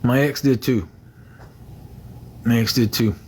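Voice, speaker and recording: A man's voice: medium pitch, fairly even intonation, slow pace, a little mellow, a little hiss or hum. Rambling man on a livestream. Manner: rambling, repeats himself, thanks viewers for gifts. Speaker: livestream host. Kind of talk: casual livestream chat with viewers.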